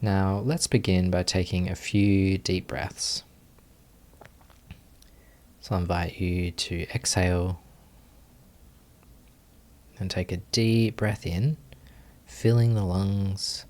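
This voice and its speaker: A man leading a guided meditation as a teacher, giving gentle instructions with long pauses. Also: deep voice, steady tone.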